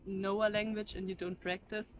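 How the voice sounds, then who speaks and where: Young german woman, street interview, traffic clearly behind her, lots of "like". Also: medium pitch, little pitch movement, normal speed. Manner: chatty, leans on "like". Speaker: passerby interviewee. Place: outdoors on a street. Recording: outdoor street noise behind.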